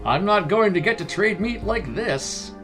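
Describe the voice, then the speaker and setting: Man playing a courteous, animated middle-aged merchant, raised pitch. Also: unhurried, over music. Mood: fretful. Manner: chatty, courteous, explanatory. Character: friendly, polite middle-aged male merchant, well-to-do.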